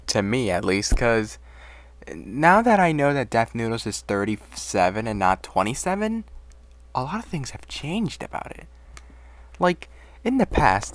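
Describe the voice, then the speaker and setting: A man giving casual, exasperated commentary on online drama, swearing and rambling through asides. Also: medium pitch.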